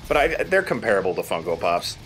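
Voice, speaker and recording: A man's voice: low voice, very animated, fast talker, fairly bright tone, a little hiss or hum, low-quality recording. A man hosting a let's play, talking casually as he comments on a video game.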